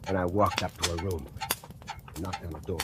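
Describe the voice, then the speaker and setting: A man's voice: sits low, steady tone, moderate pace, very noisy, close to an everyday voice. An actor playing a scheming factory worker who narrates his own murder plot, confiding and gloating, in 1940s radio acting. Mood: sly. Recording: old radio broadcast with music.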